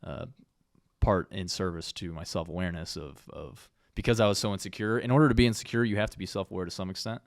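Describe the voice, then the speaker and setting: A young man with a lowish voice chats easily on a podcast and agrees often. His voice is recorded close and dry, with no room sound. He tells his stories in a relaxed way. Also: fast, creaky, no room sound. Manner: easygoing, agreeable, with many yeahs. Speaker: podcast co-host. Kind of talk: casual conversation.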